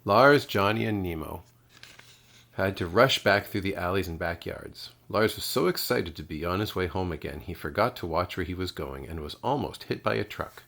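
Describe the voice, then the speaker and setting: A man's voice: low voice, lively intonation, normal speed, gravelly, a bit bright. A man reading children's storybooks aloud expressively, like a kindergarten teacher.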